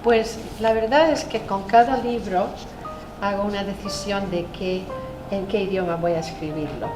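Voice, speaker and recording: A woman memoir author whose first language is Spanish, answering panel questions in a measured, reflective way. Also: medium pitch, fairly even intonation. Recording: echoey room with music behind.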